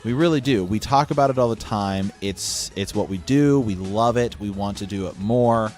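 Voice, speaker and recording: A man's voice: fairly low pitch, normal speed, darkish tone, soft background music. A man hosting a podcast, chatting casually as he makes an announcement with a co-host.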